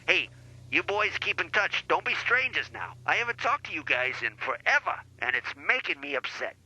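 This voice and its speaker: Bright-voiced, blustering boozy lawyer played by a man. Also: on the high side, very animated, normal speed, breathy edge, heavily acted, rough recording. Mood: brash, crude. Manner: pompous, blustering, foul-mouthed. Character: brash, boozy, crude male divorce lawyer. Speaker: guest on a comedy podcast. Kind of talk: improvised comedy sketch.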